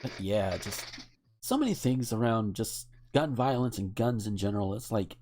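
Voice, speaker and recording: Animated, emphatic American podcast host. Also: medium pitch, moderate pace, slight background noise. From United States. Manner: emphatic, blunt, swearing. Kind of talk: casual opinionated discussion.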